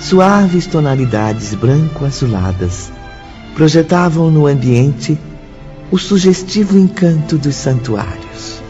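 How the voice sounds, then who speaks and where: A man with a Brazilian accent narrates a radio drama at a slow pace. His pitch is mid-range and very expressive. The recording is slightly dull, with music underneath. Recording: dull audio with background music.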